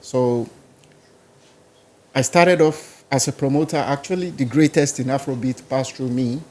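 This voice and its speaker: A man's voice: mid-range voice, a little slow, a little mellow. A man with a Nigerian accent, a musician guest in a podcast interview, talking in a rambling, casual way. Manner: rambling, casual, listing places and languages.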